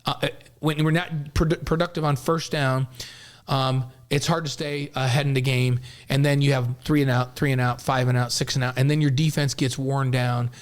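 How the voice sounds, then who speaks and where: A man's voice: mid-range voice, flat delivery, normal speed. A man hosting a sports talk show, explaining game strategy in a casual, rambling way.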